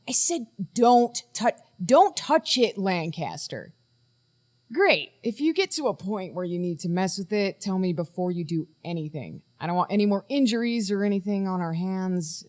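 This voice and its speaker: An actress playing a guarded woman in charge of a team in a naturalistic audio drama, brisk and firm with hesitant trailing asides. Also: fairly low pitch, darkish tone, lightly acted. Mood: alarmed, exasperated, then cautious, firm.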